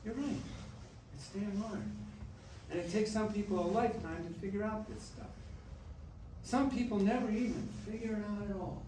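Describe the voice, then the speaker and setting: A man's voice: high voice, fairly even intonation, normal speed, long pauses, close to an everyday voice. A man acting a playful, loving grandfather in a staged reading, with an emphatic, theatrical delivery. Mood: earnest, firm. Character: playful, loud, loving grandfather back from death. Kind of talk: staged musical reading, acted dialogue. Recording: distant, echoey room with faint noise.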